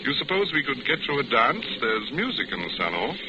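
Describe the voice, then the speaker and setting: An actor as a suave bachelor, very noisy old radio. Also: male voice, mid-range voice, very animated, lo-fi sound, lightly acted. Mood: teasing, flirtatious. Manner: suave, teasing, measured. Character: smooth, flirtatious, philosophizing bachelor ship passenger. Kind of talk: 1940s radio acting, romantic dialogue.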